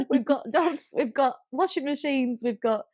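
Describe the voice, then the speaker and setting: A woman's voice: high-pitched, a little mellow, no room sound, studio quality. A woman co-hosting a podcast in an informal, easygoing conversational way. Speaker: podcast co-host. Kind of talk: casual chat.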